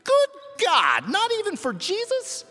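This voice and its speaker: A man voicing a brash, bossy young girl with loud, demanding outbursts in a children's story. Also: very high-pitched, wildly swooping pitch, a little slow, voice pushed up, full character voice. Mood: shocked. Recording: echoey hall reverb with music.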